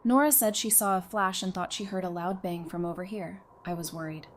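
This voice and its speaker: A woman's voice: fairly low pitch, fairly even intonation, fairly quick, slight background noise, close to an everyday voice. An actress playing a concerned, plain-spoken young mother with a matter-of-fact delivery in an audio drama. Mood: worried, matter-of-fact.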